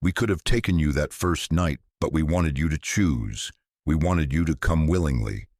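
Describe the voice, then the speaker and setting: A man's voice: very deep voice, expressive, normal speed, darkish tone, dry recording, studio quality. A man voicing a group of hungry undead asylum patients who coax and threaten in a sinister way. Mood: menacing. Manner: coaxing, sinister.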